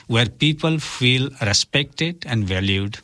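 A man with Nepali as his native language speaks as an interview guest at a middling pitch. His phrasing is formal and deliberate, and he comes across as earnest. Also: animated, pushed and bright. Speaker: interview guest, community worker and officiant for migrants and refugees.

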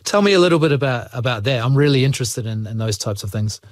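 A man interviewing a guest with measured, encouraging questions. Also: mid-range voice, expressive, dry recording, clean studio sound.

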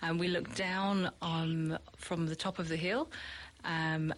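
A woman's voice: fairly low pitch, flat delivery, takes it slowly. A middle-aged British woman from the UK, a teacher, chatting in an interview with lots of ums and frequent laughs. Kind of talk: podcast interview.

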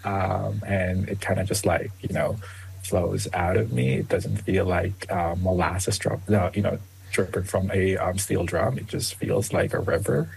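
A young man from the Philippines, first language Tagalog, answering interview questions as a guest author, with many ums and soft laughs. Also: low-pitched, fairly even intonation, measured pace, creaky, a little hiss or hum, poor audio.

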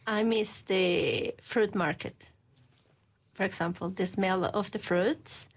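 A woman's voice: medium pitch, fairly even intonation. Earnest woman. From Colombia. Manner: earnest, halting English with frequent restarts. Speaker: guest, church women's ministry leader. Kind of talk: interview answers.

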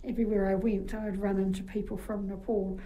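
A woman's voice: mid-range voice, little pitch movement, brisk, warm tone. A woman with a New Zealand accent, a guest in an interview, telling chatty anecdotes with frequent fillers. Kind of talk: casual interview storytelling.

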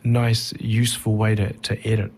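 A middle-aged man talking reflectively as an interview guest, thoughtful and halting, often rephrasing mid-sentence. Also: lowish voice, completely flat delivery, a little mellow. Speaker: touring stand-up comedian, interview guest.

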